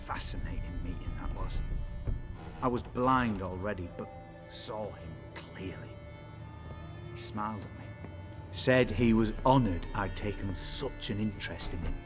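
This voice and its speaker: A blind scholar speaks in formal, theatrical phrases, haunted and ominous. It is video game dialogue with music clearly playing behind him. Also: male voice, fairly low pitch. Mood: haunted, wry. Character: blind, fearful old scholar, learned and ominous.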